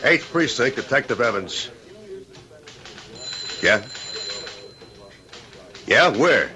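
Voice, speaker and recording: An actor playing a blunt, matter-of-fact police detective in an old-time radio drama, clipped and deadpan. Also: male voice, medium pitch, very slow.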